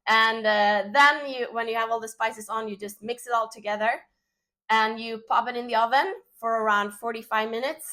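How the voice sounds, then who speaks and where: A woman with a Swedish accent, a native Swedish speaker, giving a relaxed, chatty cooking demonstration in her home kitchen, with plenty of fillers. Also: on the high side, moderate pace. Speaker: cooking show host.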